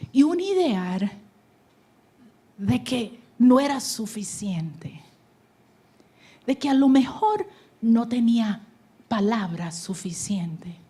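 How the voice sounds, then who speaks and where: Husky-voiced woman preaching. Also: fairly high pitch. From United States (New Jersey). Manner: shifts from loud exhortation to hushed whispers. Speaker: conference speaker. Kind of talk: preaching testimony. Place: conference hall stage.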